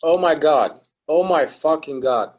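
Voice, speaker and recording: Actor as distraught male bystander, fairly high voice, stage-play read, muffled home recording. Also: a little slow. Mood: horrified.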